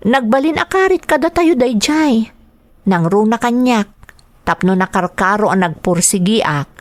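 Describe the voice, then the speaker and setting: A woman's voice: fairly high pitch, animated, unhurried, no echo, clean studio sound. A woman acting as a dutiful, earnest young daughter in an Ilocano radio drama, speaking with a Filipino accent. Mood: concerned, sympathetic. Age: young adult.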